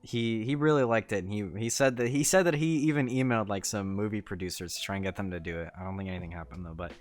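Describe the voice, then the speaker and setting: A man's voice: fairly low pitch, quick pace, dry recording, faint music behind. A young man hosting a podcast, chatting in an easygoing, self-deprecating way. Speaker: podcast host. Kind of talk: casual chat.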